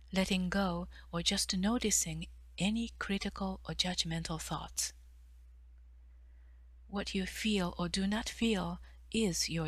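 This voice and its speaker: A woman with a slightly low voice narrates a guided meditation. Her delivery is soft and breathy, with measured pauses between phrases. The sound is very dry, with hardly any room in it. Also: dry recording.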